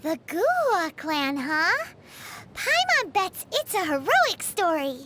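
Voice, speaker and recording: A woman voicing a tiny, cheeky, excitable fairy companion in a video game, with a squeaky childlike voice and a cutesy manner. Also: slow, slight background noise, heavily acted. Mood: excited. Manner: cutesy, refers to self in third person. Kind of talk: video game character voice lines.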